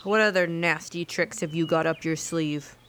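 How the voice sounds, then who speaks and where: An actress playing a sceptical, defiant captive traveller in a comedic fantasy audio drama, dry and sarcastic. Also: female voice, low voice, fairly even intonation, moderate pace, a bit bright, a little hiss or hum. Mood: accusing, sceptical.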